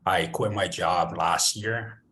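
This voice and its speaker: Very deep-voiced man on a call. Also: takes it slowly, bright, forceful tone. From born in China, raised in the US. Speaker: panelist. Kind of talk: casual conversation. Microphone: video call.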